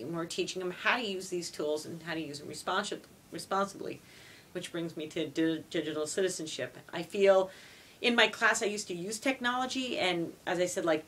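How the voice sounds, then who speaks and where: A woman's voice: fairly low pitch, a bit bright, slight background noise. A woman from Montreal, a native French speaker, answering interview questions as a foreign language teacher, earnest and dotted with "you know.". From Canada (Montreal).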